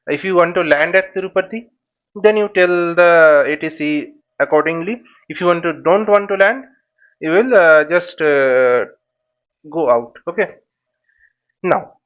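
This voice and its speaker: A man's voice: high voice, fairly bright tone, dull audio. A man giving an unscripted step-by-step tutorial, speaking hesitantly, repeating himself and checking that the listener follows. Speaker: flight simulator tutorial instructor.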